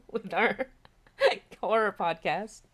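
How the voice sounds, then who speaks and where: A woman hosting a casual livestream, chatty and animated, often giggling as she talks. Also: mid-range voice, very slow. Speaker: podcast host and writer.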